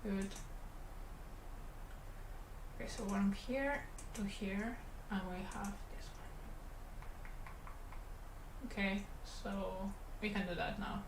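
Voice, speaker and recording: Catalan-accented woman, middle pitch, relaxed livestream chatter while working, roomy sound. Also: slow pace, pauses often. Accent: Spanish Catalan. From Catalonia, Spain. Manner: relaxed, rambling, talks to chat while working. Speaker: animator streaming her work. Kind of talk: casual livestream chat while drawing. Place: animation studio room. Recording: roomy, faint background hum.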